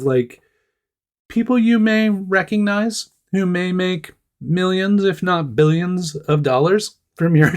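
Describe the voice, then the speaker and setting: A middle-aged man with a monotone, nasal voice, hosting a casual solo startup news show and talking chattily with small laughs between phrases. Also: fairly high pitch. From Oregon, United States. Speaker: startup news podcast host.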